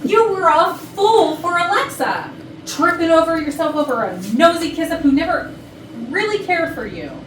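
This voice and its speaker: A woman's voice: extremely high pitch, animated, moderate pace. A woman playing a brash, defiant, lovesick office party girl in a staged comedy reading, with dramatic, indignant delivery. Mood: lovesick, bitter. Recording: echoey room, distant mic, clear noise.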